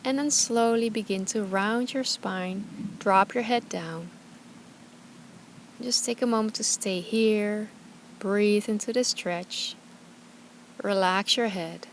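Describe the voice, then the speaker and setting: A woman teaching yoga and guided meditation, speaking calmly and soothingly in short, gentle instructions. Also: medium pitch, slow pace, long pauses, slight background noise. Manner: calm, gentle, soothing guidance with short phrases.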